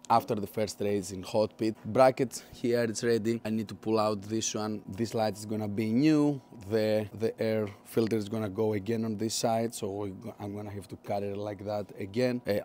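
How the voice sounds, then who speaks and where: A man's voice: lowish voice, warm tone, slight background noise. Chatty Greek-accented man in a garage. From Greece. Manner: chatty, upbeat, non-native English. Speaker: car-build vlogger. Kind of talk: casual workshop vlog. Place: outdoor driveway garage under a canopy.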